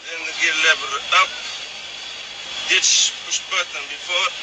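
A man speaks as an airline pilot in a high-pitched voice. He calls out emergency checklist items and radio messages. The cockpit recorder is swamped by loud aircraft noise. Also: steady tone, measured pace.

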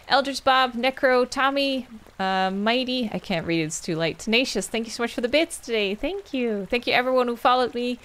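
A young adult woman, a game streamer wrapping up with casual, rambling chat as she thanks viewers by name and answers their questions. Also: mid-range voice. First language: Dutch.

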